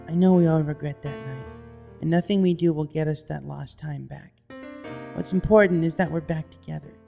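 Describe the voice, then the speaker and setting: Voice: mid-range voice, moderate pace, creaky, warm tone, big-room sound, faint music behind, natural voice. A narrator voicing an earnest young female pegasus reading out heartfelt storybook letters. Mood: earnest, reconciling.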